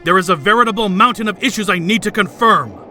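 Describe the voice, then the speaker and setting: A man's voice: sits high, lively intonation, fast talker, pushed and bright, faint music behind, full character voice. A man voicing an arrogant, coldly logical elite student with haughty, clipped delivery in an anime fandub. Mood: haughty, impatient.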